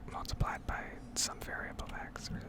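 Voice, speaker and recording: A woman whispers throughout in a breathy, unvoiced tone. She talks as the host of an ASMR gaming video, rambling through explanations in an analytical way. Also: normal speed.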